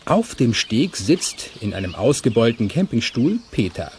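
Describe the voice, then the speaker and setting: Faint background noise accompanies a storybook narrator with a medium-pitched voice. He reads scripted children's radio play narration in a calm, measured, gently wry way.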